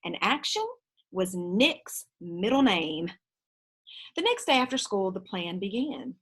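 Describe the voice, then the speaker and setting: A woman reading a children's story aloud in an expressive, teacherly storytelling style. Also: medium pitch, takes it slowly, breathy edge.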